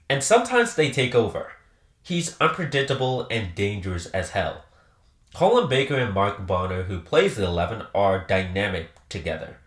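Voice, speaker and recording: A man's voice: fairly low pitch, fairly bright tone, reverberant. A man presenting a scripted countdown review with emphatic, enthusiastic delivery. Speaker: host presenting a ranked countdown.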